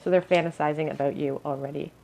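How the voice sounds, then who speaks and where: A woman giving a pick-a-card tarot reading in a musing tone, her voice a little hoarse and scratchy, often clearing her throat. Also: low-pitched, steady tone, quick pace, warm tone. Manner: musing, hedges with "I feel". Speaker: tarot reader.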